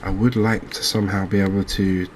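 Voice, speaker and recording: A man with a low voice and very level intonation talks through a game in a cozy ASMR style. He takes his time, thinking aloud and leaving pauses. Light ambient noise sits behind the voice. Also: flat delivery. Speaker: gaming video host. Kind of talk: unscripted, quiet gameplay commentary in a cozy ASMR vein.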